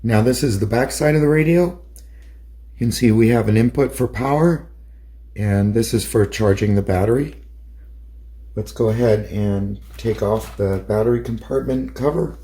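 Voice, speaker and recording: A man's voice: lowish voice, moderate pace, dark tone. A man narrating a hands-on product demonstration as a hobbyist presenter.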